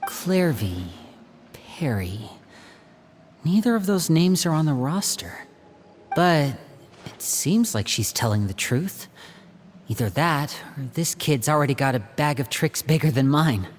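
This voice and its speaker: An actor playing a young male stage magician who is playful and protective, using a smooth, coaxing showman's delivery. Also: a little high, very animated, unhurried, slight background noise. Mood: planning. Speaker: older brother planning a rescue. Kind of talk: video game dub acting.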